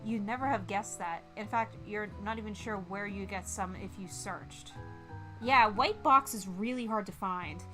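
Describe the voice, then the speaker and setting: A woman livestreaming a visual novel, reading it aloud and chatting with giggly, expressive reactions. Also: medium pitch, a little slow, faint music behind. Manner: giggly, expressive, reacting between read lines. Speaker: streamer playing a visual novel. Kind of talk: livestream game read-along with chatty reactions.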